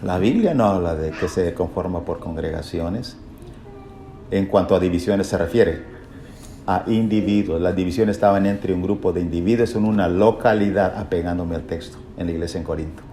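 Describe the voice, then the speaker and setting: A man's voice: low-pitched, moderate pace, mellow. A man preaching a Bible lesson in an emphatic, didactic way, posing rhetorical questions and repeating key phrases. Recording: echoey room, faint background noise.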